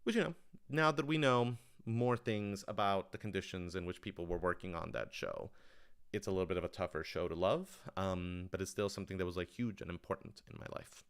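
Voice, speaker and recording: A man's voice: sits low, brisk, clean tone. A man talking casually on a livestream Q&A, thinking aloud in a rambling, enthusiastic way. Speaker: writer-director of audio dramas answering questions.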